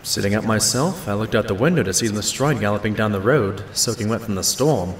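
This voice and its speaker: A man playing a loyal pony sidekick narrating his detective friend's cases in an audio drama, measured and mildly amused. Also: on the low side, noisy background, natural voice. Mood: reflective. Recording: roomy voice over faint music.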